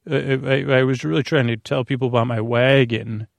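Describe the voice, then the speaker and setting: A man with a creaky voice, improvising a meandering, halting bedtime podcast monologue full of asides and self-interruptions. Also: mid-range voice, fairly even intonation, no room sound. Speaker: podcast host.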